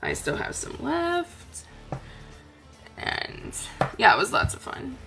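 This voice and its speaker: A tired woman with a raspy voice, chatting casually as a crafting host, rambling gently. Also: high-pitched, huge pitch swings, takes it slowly, music in the background. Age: adult, aunt. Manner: rambling, chatty, soft-spoken. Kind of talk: casual chat to camera.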